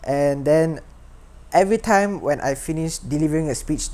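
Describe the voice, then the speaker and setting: A man's voice: on the high side, darkish tone. A teenage boy with a Singaporean accent, earnestly sharing his own story and often restarting or repeating words. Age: teens. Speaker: secondary school student. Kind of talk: personal talk to camera.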